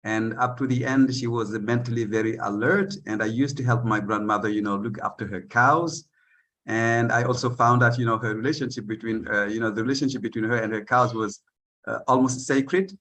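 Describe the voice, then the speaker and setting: A man from northern Senegal speaking on a panel, hesitant, with many fillers and pauses to find his words. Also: mid-range voice, a bit bright. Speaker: panel speaker, eco-village leader. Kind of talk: unscripted speech on a panel.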